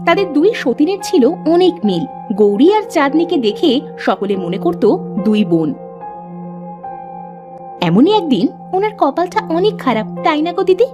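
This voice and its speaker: A woman narrates a scripted cartoon tale. Her voice is high and expressive, with clear music playing behind it. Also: moderate pace, pauses often, muffled recording.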